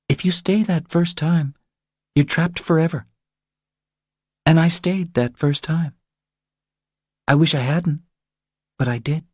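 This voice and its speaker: Audiobook role: trapped, defiant young mother played by a male narrator, raised husky voice, pleading confessions in short phrases. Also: fairly high pitch, a little mellow, dry recording, studio quality, pitched above the performer's own voice.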